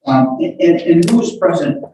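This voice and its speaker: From a distance in a heavily reverberant room, a man puts questions to a witness. He is careful and persistent, restarting his phrases haltingly as he builds each courtroom question. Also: fairly high pitch, animated, background noise. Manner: halting, repeats openings, careful but persistent. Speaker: questioner cross-examining a witness at a court hearing. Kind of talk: courtroom cross-examination. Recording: very echoey room, distant mic.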